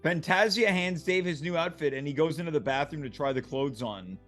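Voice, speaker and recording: A man with a fairly high voice narrates stage directions for a comedy table read. His delivery is scripted narration, with faint music behind. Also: fairly quick, fairly bright tone.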